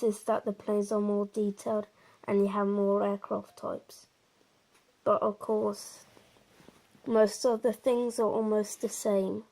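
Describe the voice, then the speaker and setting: A woman's voice: medium pitch, creaky, warm tone, low-quality recording. A woman giving a casual gameplay commentary, explaining things in an informal, meandering and slightly hesitant way. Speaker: presenter of a game walkthrough.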